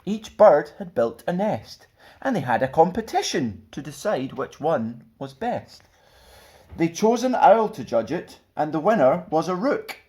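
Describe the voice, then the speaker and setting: A man tells a children's story with a very animated voice. His pitch moves around freely and he reads with theatrical expression, like the host of a storytime show. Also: a little slow. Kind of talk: expressive children's picture book reading.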